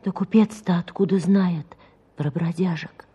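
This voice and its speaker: Husky, careworn village woman. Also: lowish voice, steady tone, a little slow, mellow. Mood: concerned. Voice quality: husky, breathy. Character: careworn, kindly village peasant woman, hospitable, sorrowful. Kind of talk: radio drama acting.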